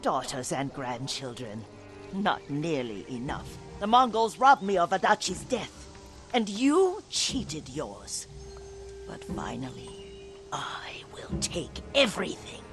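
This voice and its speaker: Vengeful older noblewoman, game villain. Resentful, emphatic, very dynamic pitch, unhurried delivery. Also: big pitch swings, over music, heavily acted. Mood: cold spite. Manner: deliberate, venomous, emphatic. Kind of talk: dramatic game voice acting.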